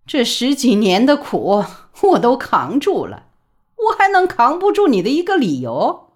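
A woman voicing a hot-tempered middle-aged mother who scolds her son in a shrill, high voice. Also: huge pitch swings, normal speed. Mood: indignant.

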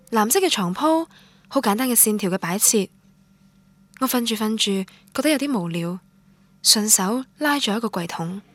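A woman's voice: mid-range voice, no echo, close to an everyday voice. An actress playing a lonely young woman student, narrating her memories in Cantonese radio drama in a wistful, literary manner. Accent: Cantonese from Guangdong.

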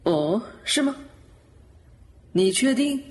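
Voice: medium pitch, sing-song, slow. A voice actor playing a sly, smug male death god who teases and mocks in an audio drama. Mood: teasing. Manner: teasing, mocking. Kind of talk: Chinese audio drama acting.

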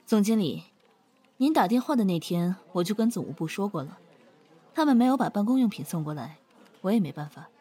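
A woman acting a composed, shrewd, mature finance director with a calm, cool manner in a modern audio drama. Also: mid-range voice, moderate pace, pauses often, slightly rough. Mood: composed, dryly polite.

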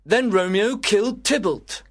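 A hot-blooded young nobleman, acted by a man in a dramatised tragedy. His voice sits very high and swings widely, urgent and emphatic. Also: lively intonation, measured pace, heavily acted. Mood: earnest. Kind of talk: dramatised audiobook of a classic tragedy.

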